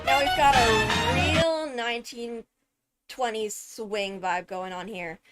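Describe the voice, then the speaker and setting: A woman streamer giving casual, emphatic analysis of a game video, halting as she thinks through points. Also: a little high, over loud music. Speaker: streamer, host.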